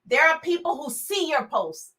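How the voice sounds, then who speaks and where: Energetic woman business coach on a live stream, big voice, high and bright, preachy and direct. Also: normal speed. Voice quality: big, full voice. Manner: energetic, preachy, talks straight to viewers with repeated calls to share. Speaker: motivational speaker and business coach.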